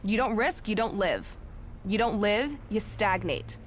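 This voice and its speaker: A woman's voice: medium pitch, expressive, a little slow, slight background noise, natural voice. An actress playing a brash, impatient, foul-mouthed woman warrior in a video game, blunt and combative. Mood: impatient, defiant. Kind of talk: video game cutscene acting.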